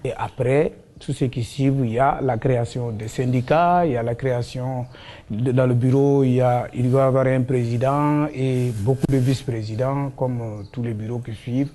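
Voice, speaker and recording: A man's voice: medium pitch, fairly even intonation, normal speed, dark tone. A man with a West African accent answering in a documentary interview, explaining in a hesitant way and repeating his phrases.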